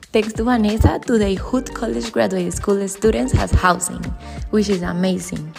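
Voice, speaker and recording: A woman's voice: medium pitch, vocal fry, darkish tone. A woman hosting a podcast, reading an introduction and asking questions in English with small slips. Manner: reads intro, speaks English as a second language with small slips. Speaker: podcast host.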